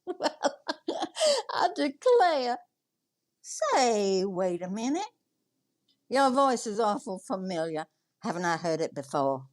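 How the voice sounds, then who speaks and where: A woman's voice: mid-range voice, big pitch swings, slow pace, pauses often. An actress playing a sweet, flirty, gushing salesgirl with a Southern accent in a radio comedy. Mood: sweet, curious. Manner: gushing, coy. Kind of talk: 1940s radio comedy acting.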